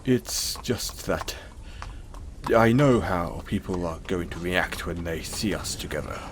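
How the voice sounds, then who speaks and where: A man playing a kind, apologetic centaur in an audio roleplay, speaking gently and hesitantly to the listener in a reassuring manner. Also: low voice, slow pace, noisy background. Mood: resigned, sheepish.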